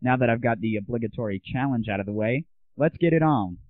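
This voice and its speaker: A man's voice: medium pitch, animated, quick pace, no room sound. A man hosting his own show, talking to listeners in a casual, chatty way. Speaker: radio show host. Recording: lo-fi dry recording.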